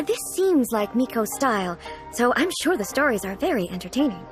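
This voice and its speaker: High-voiced, dignified, formal female ruler. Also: very animated. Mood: pensive, curious. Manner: formal, measured, pensive. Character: dignified, reclusive female ruler, formal, earnest, naively puzzled. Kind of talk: video game voice acting. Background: game music.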